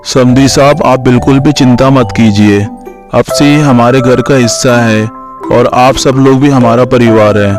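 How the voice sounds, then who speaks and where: A man plays a respectful elder of a cartoon family, giving counsel. His pitch is middling for a man. Music is clearly heard behind him. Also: steady tone, a little slow, natural voice. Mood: reassuring. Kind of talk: cartoon story dialogue.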